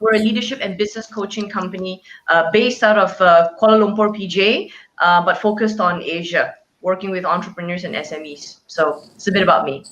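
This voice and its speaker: A woman's voice: on the low side, steady tone, fairly quick, a bit bright, a little hiss or hum. A woman introducing herself on a video call, hesitant with many fillers. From Malaysia. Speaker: founder and CEO guest. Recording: echoey, dull video call audio.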